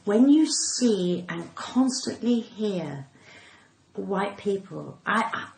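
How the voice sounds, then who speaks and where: A woman's voice: mid-range voice, animated, very slow, creaky, a little mellow. Older Englishwoman, well-spoken dramatic storyteller, recorded in an echoey room with faint noise. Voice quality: cut-glass, well-spoken. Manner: dramatic, acting out quoted speech. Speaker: podcast guest, comedian and actor. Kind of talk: candid anecdotal storytelling in an interview. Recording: roomy echo, faint background noise.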